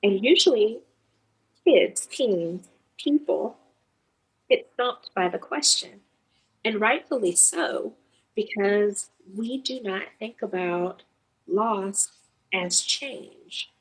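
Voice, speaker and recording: A woman's voice: mid-range voice, lively intonation, takes it slowly, pauses often, husky, rough recording. A middle-aged woman therapist talking earnestly about grief in an interview, acting out kids' replies now and then. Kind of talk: reflective interview talk.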